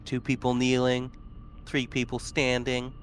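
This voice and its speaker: A man's voice: mid-range voice, monotone, unhurried. A man playing a tabletop roleplaying game, talking casually as he plans and asks questions.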